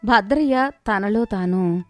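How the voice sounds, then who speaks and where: A woman's voice: medium pitch, takes it slowly, no room sound. Dry-recorded woman storyteller over music. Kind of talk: scripted moral story narration. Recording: dry studio, music underneath. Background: background music.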